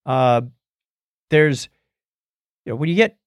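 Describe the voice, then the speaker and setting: A man's voice: mid-range voice, lively intonation, slow pace, smooth, no room sound. A man hosting a podcast, chatting casually and explaining science news in a conversational way. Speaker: podcast host.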